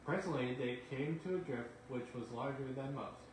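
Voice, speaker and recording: A man's voice: medium pitch, normal speed, recorded from a distance. A man reading children's bedtime stories aloud as a narrator in a reverberant room. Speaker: storyteller. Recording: very echoey room, faint noise.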